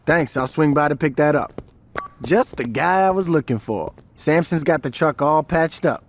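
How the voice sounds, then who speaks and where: An actor playing a brisk gang lieutenant in a video game cutscene, slangy, confident and wry as he gives orders. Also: on the high side, lively intonation, slight background noise. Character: brisk, street-smart male gang lieutenant giving orders.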